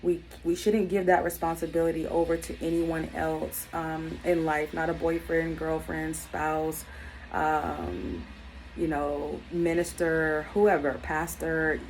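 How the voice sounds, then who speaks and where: A woman in her thirties talking unscripted to camera, earnest and reflective, with many pauses and filler words as she gives life advice. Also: low voice, little pitch movement, darkish tone, a little hiss or hum, low-quality recording. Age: 30s. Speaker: YouTube self-help vlogger. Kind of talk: unscripted personal talk to camera.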